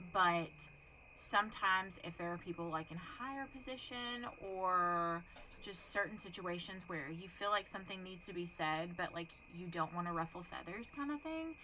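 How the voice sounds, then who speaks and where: A young woman with a Texan accent, talking in a casual, frank way and dropping the odd swear word. Her voice is bright and forceful, sitting a little low in pitch. Faint noise hums behind her. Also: low-quality recording. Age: young adult. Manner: chatty, frank, swears casually, laughs often. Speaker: podcast co-host. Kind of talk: casual chat.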